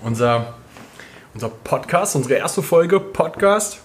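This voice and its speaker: A man's voice: a little high, expressive, takes it slowly, a little hiss or hum. A man chatting casually as a podcast co-host, dropping English slang into his talk. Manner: peppers speech with English slang. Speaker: podcast co-host, calisthenics coach.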